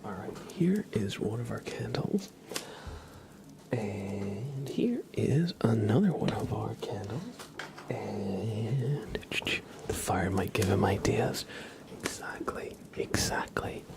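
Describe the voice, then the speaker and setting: A man hosting an ASMR livestream, chatting casually to viewers in a hushed, soothing whisper. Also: lowish voice, expressive, slow pace, vocal fry, very noisy. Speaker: livestream host.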